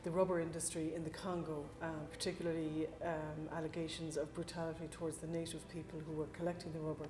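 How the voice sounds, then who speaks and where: A woman explaining history in an interview as a museum curator, measured and pausing often. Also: low-pitched, little pitch movement. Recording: echoey room under music. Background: prominent background music.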